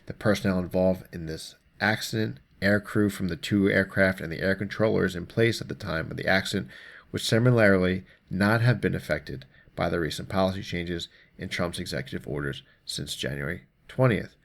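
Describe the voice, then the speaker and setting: A man with a very low, creaky voice hosts a casual explainer. He talks through the news informally and conversationally, moving between reading aloud and offhand commentary. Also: normal speed. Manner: informal, conversational, mildly profane. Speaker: host of an explainer show.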